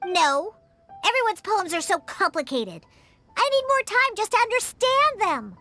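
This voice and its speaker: A woman's voice: very high-pitched, very animated, measured pace, sharp tone, faint music behind, full character voice. A woman playing a small, candid young girl in a game, puzzling things out in a childlike way. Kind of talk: scripted game dialogue.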